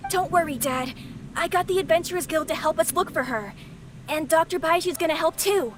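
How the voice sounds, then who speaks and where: A woman's voice: very high voice, a little fast, noisy background. A woman voicing an eager, caring young child in a video game. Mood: excited, reassuring. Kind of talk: English video game voice acting.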